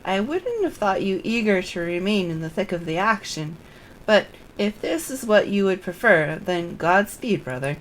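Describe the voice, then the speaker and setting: A woman's voice: medium pitch, sing-song, moderate pace, slight background noise, natural voice, rough recording. A woman reading the part of a wry young noblewoman in a fantasy game, dry and formal in her phrasing. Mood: wry, resolute.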